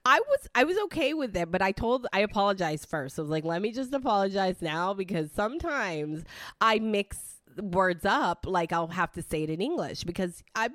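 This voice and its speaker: A woman in her forties hosting a podcast over a video call, animated and laughing often as she tells stories; her first language is Italian. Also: medium pitch, moderate pace, no room sound. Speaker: podcast host. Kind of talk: casual podcast chat.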